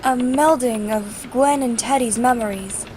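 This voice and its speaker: A woman playing a serious, pragmatic superhero from the future in a comic dub, measured and explanatory. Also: a little high, a little mellow, lightly acted. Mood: explaining. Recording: noisy home recording.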